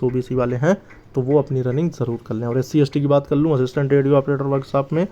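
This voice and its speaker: A man's voice: mid-range voice, warm tone. A man giving an informal, emphatic and reassuring explainer to listeners, repeating his key points. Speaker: exam news channel host. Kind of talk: informal explainer addressed to exam candidates. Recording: slightly dull, lo-fi sound.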